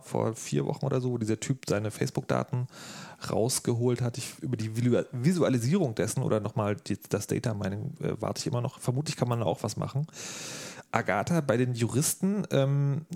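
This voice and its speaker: Casting: male podcast host with a fry-laden, average-pitched voice, colloquial and wry, for relaxed topical conversation. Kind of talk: casual discussion, posing questions.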